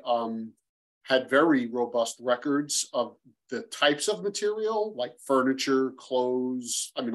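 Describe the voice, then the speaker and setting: A man on a video call answering an audience question for a panel. He explains step by step, pausing on fillers as he goes. His voice is of middling pitch, picked up by a laptop webcam. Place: home room, video call.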